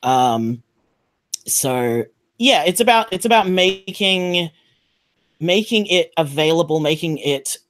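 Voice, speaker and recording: A man's voice: on the high side, animated, slow, a bit bright, slight background noise. A man talking casually and explaining things as a panelist on a remote video call. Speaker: podcast panelist.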